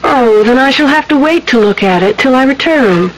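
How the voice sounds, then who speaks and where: A woman's voice: high-pitched, animated, normal speed, slight background noise, low-quality recording. An actress playing a sweet, dutiful young woman in an old-time radio drama, polite and earnest. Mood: bright, dutiful. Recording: old radio broadcast with faint music.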